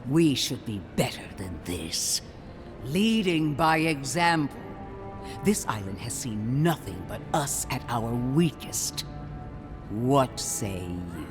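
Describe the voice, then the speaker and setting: A zealous inquisitor from a fantasy video game. The pitch swings dramatically through imperious, cutting phrases. The figure is severe and scornful, speaking over clear background music. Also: male voice, very animated, takes it slowly. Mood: severe, derisive. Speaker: voice actor.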